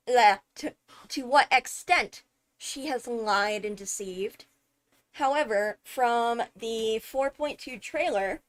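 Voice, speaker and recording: A woman giving enthusiastic fan commentary, thinking aloud with dramatic, deliberate phrasing and emphatic pauses. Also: on the high side. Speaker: video creator analysing a game character.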